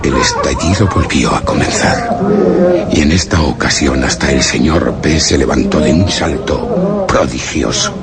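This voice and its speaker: Very deep, gravelly, grave expedition survivor. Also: male voice, wildly swooping pitch, loud music behind the voice, heavily acted. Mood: ominous, fearful. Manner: measured, formal, ominous. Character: grave male expedition survivor recounting a dreadful journey. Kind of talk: solemn literary horror radio drama narration. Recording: very noisy radio drama recording.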